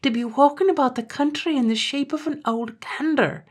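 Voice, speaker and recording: A woman voicing an excitable, gullible Irish farmer with wailing, folksy exclamations in a storybook tale. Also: sits high, normal speed, pitched above the performer's own voice, lightly acted. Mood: horrified wailing.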